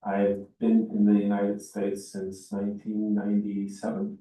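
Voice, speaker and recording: A man, telling his life story in a heartfelt, halting way. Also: lowish voice, monotone, dark tone. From Turkey. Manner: heartfelt, halting, many fillers. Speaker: oral history interviewee. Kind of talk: personal oral history. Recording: echoey room, distant mic.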